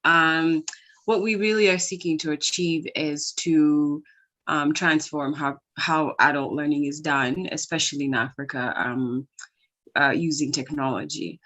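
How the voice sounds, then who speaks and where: A woman in her thirties answers questions in a casual podcast chat. She talks in an easy, thoughtful way with frequent ums. Her voice sits notably low. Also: steady tone, a little slow, a bit bright. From Kenya and Minnesota. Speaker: ed-tech founder, guest.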